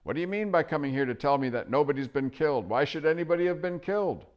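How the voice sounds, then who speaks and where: A man voicing an old, deaf, irritable male dwarf who blusters and complains loudly. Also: a little high, lively intonation, fast, highs cut off, voice pushed up, lightly acted. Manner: cranky, blustering.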